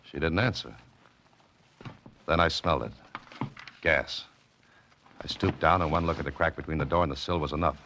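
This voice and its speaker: An actor playing a tough, dogged amateur sleuth in a 1940s radio detective drama, speaking and narrating tersely in a deadpan way. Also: male voice, extremely low pitch, normal speed, pauses often, a little hiss or hum, full character voice. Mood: terse, determined. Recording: muffled vintage radio recording with music underneath.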